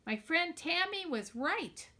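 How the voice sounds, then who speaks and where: A woman's voice: extremely high pitch, big pitch swings, slow pace, bright, forceful tone, pitched above the performer's own voice, full character voice. A woman voicing a bossy, easily exasperated eleven-year-old girl in a storybook, with emphatic exclamations.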